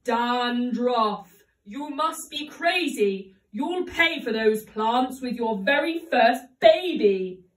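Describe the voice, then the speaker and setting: A woman voicing an evil, bad-tempered witch from a children's storybook, sharp and commanding. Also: on the high side, expressive, slow pace. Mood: menacing. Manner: rhyming threats, sharp and commanding. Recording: roomy echo, distant microphone.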